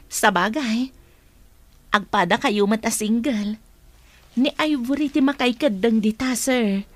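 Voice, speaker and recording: Teasing office colleague, played by a woman: high voice, inquisitive, dry radio drama recording. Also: takes it slowly, long pauses, no room sound. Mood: teasing curiosity.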